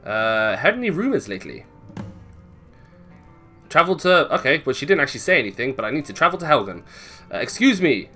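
A man's voice: mid-range voice, very animated, fairly quick, pauses often, bright, forceful tone, faint music behind. A man giving casual, chatty gameplay commentary, thinking aloud playfully and swearing freely. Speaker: gaming video host.

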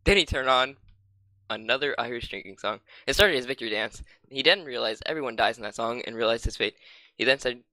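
Man, fast, bright tone, deadpan, reads punctuation aloud, light music. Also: medium pitch, dry recording. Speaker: reader. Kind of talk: comic reading of a fan story.

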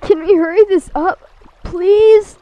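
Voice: very high voice, slow pace, lo-fi sound, a little hiss or hum. An actor voicing a small, injured young fox boy in an amateur radio drama, whiny and plaintive. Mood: weary, pleading.

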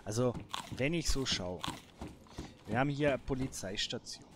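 Male let's player, medium pitch, casual thinking-aloud commentary, noticeably noisy recording. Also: normal speed. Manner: colloquial, musing to himself. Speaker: gamer, let's play host.

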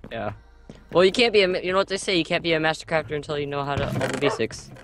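A man's voice: fairly high pitch, racing, fairly bright tone. A young man joining a casual gaming video, bantering and teasing his friend. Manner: joking, teasing, playful. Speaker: guest co-player.

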